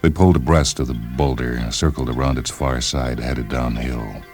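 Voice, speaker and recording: A man narrating a science fiction short story in a measured, literary storytelling manner. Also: very deep voice, steady tone, a little mellow. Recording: music behind the voice.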